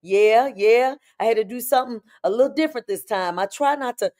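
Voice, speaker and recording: A woman with a hoarse, raspy voice, hosting a casual live-stream chat as a spiritual coach and talking familiarly to her viewers. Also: a little high, fairly quick, a little mellow. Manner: chatty, familiar, calls viewers "baby" and "y'all".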